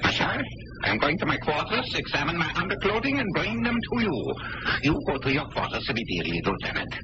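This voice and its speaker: An actor playing a stern, suspicious, brusque German colonel in an old-time radio drama. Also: male voice, a little high, very animated, brisk. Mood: skeptical, commanding. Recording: noisy muffled old radio recording.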